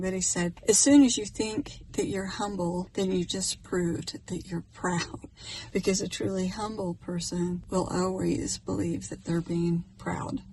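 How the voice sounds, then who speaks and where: A woman's voice: medium pitch, darkish tone, slight background noise, low-quality recording. A middle-aged American woman talking casually and reflectively to camera as a vlogger, in a musing, slightly self-conscious conversational way. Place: outdoors in a park, partly in a parking lot.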